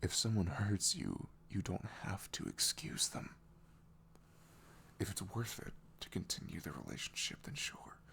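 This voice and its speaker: A man playing an apologetic, vulnerable ex-boyfriend in an intimate ASMR roleplay, with a breathy half-whisper and a hesitant, emotional delivery. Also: low-pitched, big pitch swings, measured pace, fairly bright tone, a little hiss or hum, full character voice. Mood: earnest, pained.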